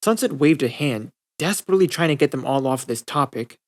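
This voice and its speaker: A man's voice: mid-range voice, animated, brisk. A man reading scripted fiction aloud as an audiobook narrator.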